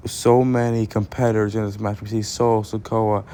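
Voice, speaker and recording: A man's voice: lowish voice, flat delivery, normal speed, dark tone, slight background noise. A man doing pro wrestling commentary and introductions, drawing out his phrases as he announces.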